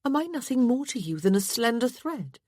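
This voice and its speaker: A woman acts out a well-mannered wife in a period romance audiobook, earnest and pleading. The voice is lifted above her narration, with a husky grain and a dry, close sound. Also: a little high, very animated, brisk, a little mellow, no room sound, voice pushed up. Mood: hurt, gentle.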